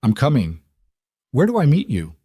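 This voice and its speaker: A man's voice: medium pitch, wildly swooping pitch, moderate pace, mellow, husky, no room sound, studio quality, pitched above the performer's own voice. A man voicing an anxious but resolute young woman in an audiobook drama, speaking with urgency. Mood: resolute, insistent.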